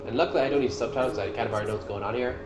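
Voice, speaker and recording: A man's voice: mid-range voice, very fast, very noisy. A man giving casual, informal commentary over game cutscenes, rambling as he goes with some mild swearing. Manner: informal, rambling, mildly profane. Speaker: gaming video host. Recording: roomy echo, game music behind.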